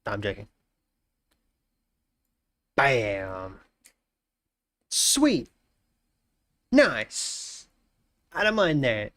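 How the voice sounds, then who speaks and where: A man's voice: mid-range voice, wildly swooping pitch, drawn-out words, pauses often, dry recording. A man in his twenties with a blocked nose, chatting casually and jokingly on a live stream, often trailing off into mumbles. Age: 20s. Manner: joking, greets chat warmly, trails off into mumbles.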